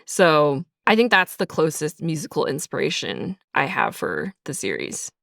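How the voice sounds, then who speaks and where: A woman's voice: low-pitched, sing-song, moderate pace, creaky, fairly bright tone, no echo, studio quality. A woman giving casual, reflective interview answers as a writer, explaining her creative process in a conversational way. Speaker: audio drama writer and creator being interviewed.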